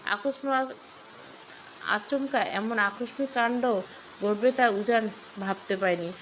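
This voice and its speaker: A woman's voice: fairly high pitch, takes it slowly, a bit bright, slight background noise, poor audio. A woman reading a scripted story with dramatic delivery. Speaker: story narrator.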